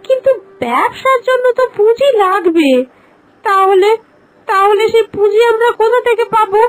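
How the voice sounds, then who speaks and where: A woman voicing an anxious, outspoken young sister-in-law in a village cartoon, with a pleading, excitable manner. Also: extremely high pitch, moderate pace, low-quality recording. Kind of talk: Bengali animated fairy-tale voice acting. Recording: music behind.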